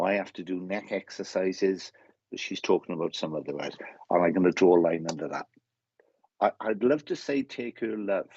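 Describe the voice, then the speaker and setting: A man working as a medium, giving a live spirit reading in a halting way, often repeating himself and restarting his sentences. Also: low voice, darkish tone, breathy edge, no echo, dull audio.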